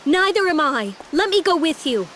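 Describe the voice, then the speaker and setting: An actress playing an earnest, gentle but resolute young fantasy heroine in a video game, speaking hesitantly. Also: female voice, very high voice, very animated, normal speed, a little hiss or hum, full character voice. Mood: pleading, resolute. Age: young adult. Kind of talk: video game cutscene voice acting.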